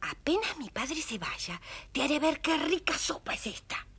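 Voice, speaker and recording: Petulant young princess for a fairy-tale record: haughty, very high, dramatically swooping. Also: female voice, big pitch swings, normal speed, a bit bright, full character voice. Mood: disgusted, threatening. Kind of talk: children's audio storybook acting.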